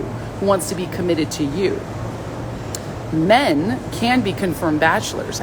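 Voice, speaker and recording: A woman with a slightly low, raspy voice hosts a casual live stream and speaks bluntly and playfully to her viewers. A clear hum of background noise runs behind her. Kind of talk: casual live-stream chat reading comments.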